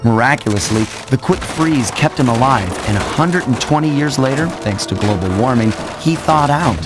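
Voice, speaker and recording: Man as suave young thief narrator, wry, laid-back, mid-pitched, cartoon game storytelling, loud music behind. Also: lively intonation, moderate pace, natural voice. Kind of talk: scripted narration.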